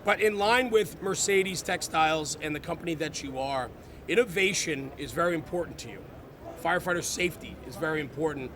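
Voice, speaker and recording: A man's voice: fairly high pitch, brisk. A man hosting a casual live interview at a trade show, enthusiastic and emphatic. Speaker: interviewer, host. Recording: busy crowd behind voice.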